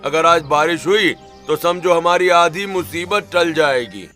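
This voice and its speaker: Here is a man acting an elderly farmer patriarch in a Hindi cartoon story. He speaks slowly and with weight, commanding his household. His voice is forceful, with faint music behind it. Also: fairly high pitch. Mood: weighty, reassuring. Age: older. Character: elderly farmer father, weary, devout, commanding.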